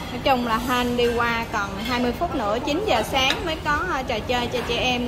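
Woman, fairly high voice, chatty walk-and-talk vlogger, loud music underneath, phone-camera sound. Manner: chatty, narrating while walking, many fillers. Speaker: travel vlogger. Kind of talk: casual walkthrough vlog. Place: hotel breakfast buffet. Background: loud background music.

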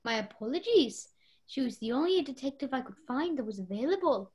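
Woman as flustered society hostess, radio mystery, polite, high pitch, very animated. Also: a little fast. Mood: apologetic. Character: polite, flustered hostess who hired the detectives. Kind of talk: radio mystery play acting.

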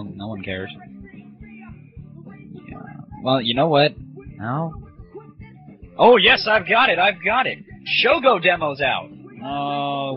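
A sarcastic, foul-mouthed man hosting an irreverent comedy show with prank calls. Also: medium pitch. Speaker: show host. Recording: dull lo-fi old internet radio audio.

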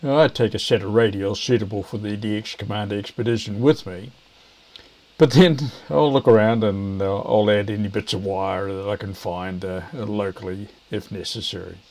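An older man, explaining things to camera in a measured, hesitant way. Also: on the low side, fairly even intonation, a little mellow. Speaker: video presenter, ham radio operator.

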